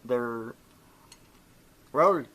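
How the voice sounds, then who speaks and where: A man streams a visual novel with a bright, forceful voice at a middling pitch. He moves between narrating the game and casual, salty, joking chat. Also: animated, drawn-out words.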